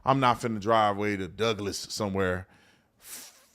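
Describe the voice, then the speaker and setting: Low-pitched man hosting a livestream. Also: unhurried, a bit bright. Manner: conversational, colloquial, addressing viewers directly. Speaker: host of a live commentary show. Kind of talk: casual livestream talk, reading out viewer messages.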